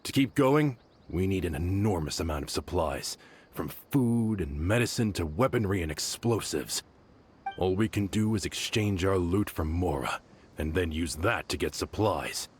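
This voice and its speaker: A man's voice: sits low, lively intonation, moderate pace, a little hiss or hum. An actor playing a grim male mercenary who reports in a matter-of-fact way.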